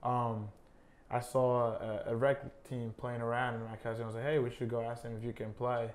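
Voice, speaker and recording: A young college soccer player from Honduras, a native Spanish speaker, talking casually in an interview with many fillers. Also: male voice, medium pitch, normal speed. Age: young adult. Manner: relaxed, many fillers like "I mean" and "uh".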